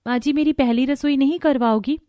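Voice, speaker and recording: A woman's voice: high-pitched, a little fast, dark tone, dry recording, close to an everyday voice. A woman playing a meek, hopeful young bride in a cartoon story, speaking politely and plaintively.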